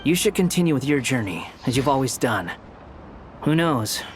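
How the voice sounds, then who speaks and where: A man's voice: mid-range voice, very animated, moderate pace, a little hiss or hum, lightly acted. An actor playing an aloof, sardonic young male wanderer in a video game, blunt and dry but reflective. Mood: brooding. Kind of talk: dramatic video game dialogue.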